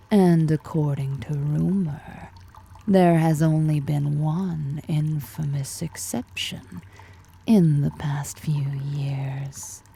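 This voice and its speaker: A woman's voice: mellow, exaggerated voice. A woman playing a powerful, predatory drider ruler in an ASMR roleplay, half-whispering with teasing, flirtatious menace. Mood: sly, imperious. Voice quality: breathy, half-whispered, creaky low voice.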